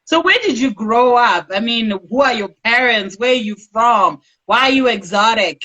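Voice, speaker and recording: Lady interviewer, playful and teasing, fairly high bright voice. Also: rough recording. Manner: teasing and playful, asks rapid follow-up questions. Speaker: host. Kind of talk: casual interview chat.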